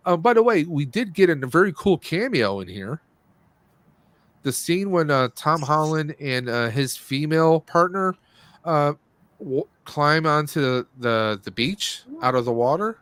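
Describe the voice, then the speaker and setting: A man's voice: on the high side, animated, measured pace, fairly bright tone, no echo. A man hosting a live stream, giving a casual, rambling, jokey movie review.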